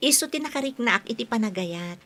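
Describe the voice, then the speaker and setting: An actress playing a gentle, polite young schoolteacher with a Filipino accent in a radio drama. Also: female voice, on the high side.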